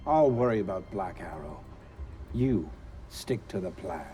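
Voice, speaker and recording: A powerful, sardonic businessman in a spy game cutscene. His lowish voice is deliberate and condescending, dryly mocking, over clearly audible music. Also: lively intonation. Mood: stern, threatening. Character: imperious, sardonic male private military tycoon. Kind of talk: video game cutscene acting.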